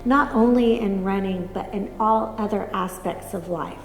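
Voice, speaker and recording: A woman speaking earnestly and haltingly about her running community in an interview. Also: medium pitch, vocal fry, reverberant, long reverb tail, poor audio. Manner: earnest, halting, many fillers. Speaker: interviewee, runner. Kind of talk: heartfelt interview talk.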